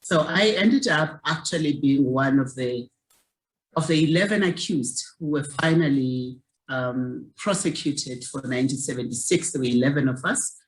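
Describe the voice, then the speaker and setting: A woman's voice: rumbling low, moderate pace, poor audio. A woman in her sixties from South Africa, a community activist telling her story in an interview in an earnest way, often repeating words as she searches for them.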